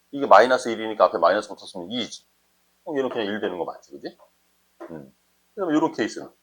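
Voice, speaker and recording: Male math tutor, husky voice with animated intonation; informal, explains step by step and checks in often. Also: lowish voice. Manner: conversational, often checks understanding with short questions. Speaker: math teacher. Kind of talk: informal explanatory math lesson, thinking aloud.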